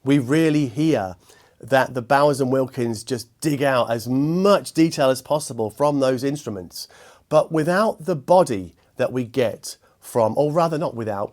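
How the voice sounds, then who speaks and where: A man hosting a product review, speaking to viewers in a measured, articulate and precise way. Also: mid-range voice, darkish tone. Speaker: host and audio equipment reviewer.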